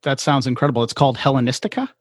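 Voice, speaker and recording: A man's voice: medium pitch, animated, rapid-fire, no room sound, studio quality. A man hosting a podcast, talking casually in an affable, agreeable way. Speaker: podcast host. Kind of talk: casual interview chat.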